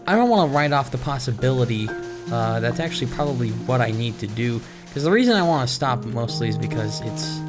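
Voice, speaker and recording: A man doing casual Let's Play commentary, musing and talking to himself in a rambling way as he plays. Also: medium pitch. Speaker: gaming video creator. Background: game music.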